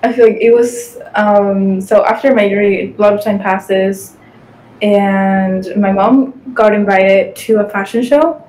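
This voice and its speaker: Young Spanish-accented woman, chatty and quick. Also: medium pitch, steady tone, a little mellow, low-quality recording. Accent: Spanish-speaking. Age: young adult. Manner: chatty, fast, many "like" fillers. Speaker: guest. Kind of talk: casual interview chat. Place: home room with city windows. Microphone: video call.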